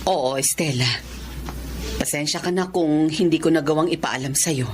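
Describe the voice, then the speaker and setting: A woman playing a concerned middle-aged cousin who gently urges and reasons, in a Filipino radio drama with a Filipino accent. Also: sits low, moderate pace, close to an everyday voice.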